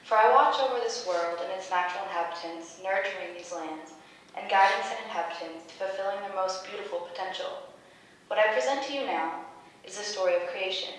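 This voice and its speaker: A woman's voice: medium pitch, a little fast, lightly acted. Woman playing Melora, solemn nature goddess, echoing. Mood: solemn, benevolent. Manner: solemn, declamatory. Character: Melora, serene, grand, benevolent mother nature goddess. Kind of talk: staged play reading. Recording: echoey room from far off.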